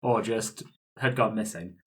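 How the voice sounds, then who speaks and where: A man's voice: lowish voice, measured pace, a bit bright. A man playing a tabletop roleplaying game, improvising ideas in casual, conversational talk, with faint noise on the recording.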